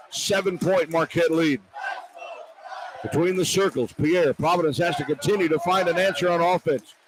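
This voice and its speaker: A man calling live basketball play-by-play on the radio, excitable and partisan, in clipped running descriptions. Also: sits high. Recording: arena noise behind broadcast commentary. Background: arena crowd ambience.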